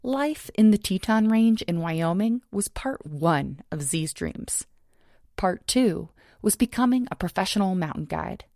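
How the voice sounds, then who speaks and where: A woman producer giving measured, scripted podcast narration. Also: medium pitch, expressive, gravelly, a little mellow, dry recording, studio quality. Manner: measured, descriptive.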